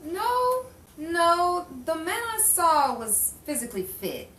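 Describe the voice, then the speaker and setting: A woman's voice: very high voice, big pitch swings, slow, sharp tone, full character voice. Woman acting a wary noir witness, echoey and distant. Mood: uneasy recounting. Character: frightened, distrustful female crime witness in a noir story. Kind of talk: improvised noir radio-play acting. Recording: echoey room, distant mic, faint noise.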